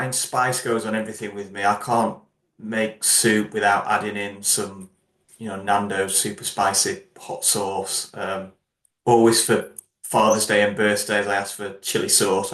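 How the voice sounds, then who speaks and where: A man hosts an interview in an upbeat, chatty way. His voice is on the low side. The sound has a roomy echo. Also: fairly bright tone. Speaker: host. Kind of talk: career interview.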